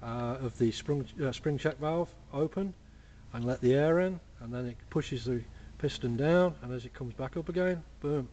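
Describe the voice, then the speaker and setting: A man talks through a hands-on workshop project in an easygoing, plain-spoken way, with a mellow, relaxed voice. Faint background noise sits under his voice, picked up by a camera mic at a cluttered workbench. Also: medium pitch, normal speed, lo-fi sound. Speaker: hobby engineer demonstrating a build. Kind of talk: casual workshop how-to. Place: cluttered home workshop bench.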